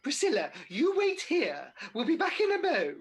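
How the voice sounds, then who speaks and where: A woman's voice: high-pitched, huge pitch swings, moderate pace, exaggerated voice. A woman playing Mother Goose as a fussy, plaintive, vain and sweet pantomime dame in a radio panto. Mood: eager. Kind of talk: British radio pantomime acting.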